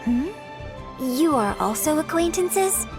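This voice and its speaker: A gracious young female opera singer from an anime game. The speech is fairly high, courteous and refined, with humble formality. Music is clearly heard behind. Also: expressive, takes it slowly, heavily acted. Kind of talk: anime-style game voice acting.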